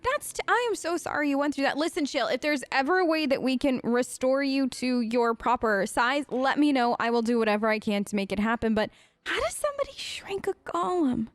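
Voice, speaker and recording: A chatty woman role-playing aloud as she hosts a game playthrough. Her voice is high and very lively in pitch, expressive and reactive, recorded close and dry. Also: a little fast, no echo. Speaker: let's play host. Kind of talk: improvised in-character role-play over a game.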